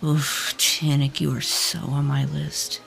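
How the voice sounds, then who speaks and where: Woman acting candid, low-voiced worried heroine. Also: monotone, slow pace, gravelly, fairly bright tone, exaggerated voice. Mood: wry. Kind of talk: fantasy audio drama acting. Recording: faint music behind the voice.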